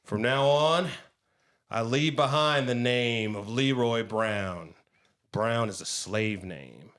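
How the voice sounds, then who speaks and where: An actor playing a brash, swaggering new vampire who talks in theatrical seventies slang in a campy horror comedy. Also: male voice, medium pitch, takes it slowly, a bit bright. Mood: grandiose. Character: brash, jive-talking 1970s man turned new vampire.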